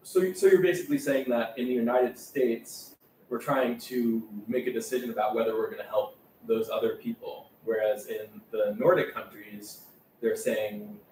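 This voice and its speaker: A man moderating a casual group discussion, restating others' points with halting repeats. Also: mid-range voice, fairly even intonation, darkish tone. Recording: echoey room, distant mic, faint hum.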